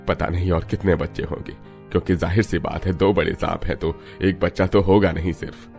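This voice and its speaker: Man playing a low-voiced calm village headman. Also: fairly quick, gravelly, faint music behind. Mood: reassuring. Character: village headman, calm, reassuring, practical male elder. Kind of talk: Hindi cartoon story acting.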